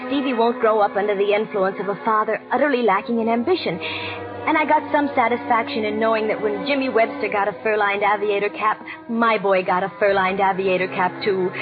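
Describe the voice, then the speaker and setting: A woman's voice: mid-range voice, natural voice. An actress playing a regretful, tender young American wife and mother, earnest and emotive in 1940s radio drama style. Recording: dull old radio broadcast, loud music underneath.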